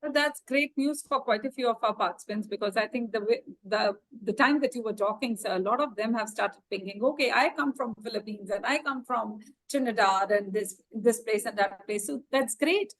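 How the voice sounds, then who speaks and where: A woman's voice: a little high, normal speed, hardly any pauses, low-quality recording. A woman hosting an online webinar, upbeat and encouraging as she moderates and puts questions to her panelists. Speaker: webinar host. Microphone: video call.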